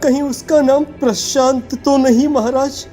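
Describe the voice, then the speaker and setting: A woman's voice: high-pitched, steady tone, measured pace, warm tone, faint music behind. A woman playing a distressed, earnest teenage schoolboy disappointed in his mother, in a dramatic audio story. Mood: shocked, questioning.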